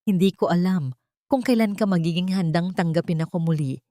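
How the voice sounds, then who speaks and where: A woman with a Filipino accent voicing a remorseful, devoted, gentle male architect in a narrated romantic story. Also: lowish voice, brisk, dry recording, clean studio sound, lightly acted.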